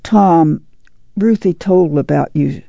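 A woman's voice: low-pitched, takes it slowly, vocal fry, mellow, dry recording, muffled recording, heavily acted. A woman playing a worried, stern but loving mother in a dramatized novel reading, with folksy country speech and an urgent, pleading way of talking. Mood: anxious, tender.

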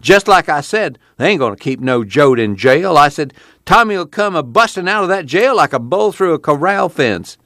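A man's voice: fairly high pitch, very animated, moderate pace, a bit bright, no echo, studio quality, pitched above the performer's own voice. Man doing a blustering old country grandpa. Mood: boisterous pride. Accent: rural American. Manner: blustering, cussing, folksy talk. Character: cantankerous, malicious, boastful old country grandfather.

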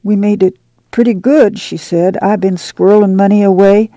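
A woman's voice: medium pitch, steady tone, moderate pace, warm tone, dry recording, lightly acted. A woman playing an anxious, tender but firm older mother in a dramatic reading of a novel. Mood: urgent, insistent. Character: anxious, tender, stern-willed rural mother, plain-spoken, older.

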